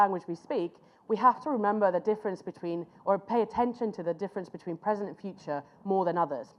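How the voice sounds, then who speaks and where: A woman presents a master class to a live room, speaking conversationally and sometimes stopping to hunt for an English word. Her voice sits slightly low for a woman. The recording is muffled and lo-fi. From Finland. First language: Finnish. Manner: conversational, pauses to search for words. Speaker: conference speaker.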